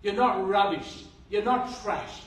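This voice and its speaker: A man's voice: high-pitched, slow pace. An Australian man preaching a sermon as pastor, earnest, asking rhetorical questions and restarting his phrases. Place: church hall. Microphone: handheld mic. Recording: roomy hall from a distance.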